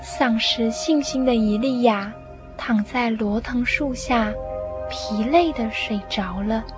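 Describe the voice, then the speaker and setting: Lady narrating a radio play, slow and expressive, kinda high voice, music behind her. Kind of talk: scripted radio drama storytelling.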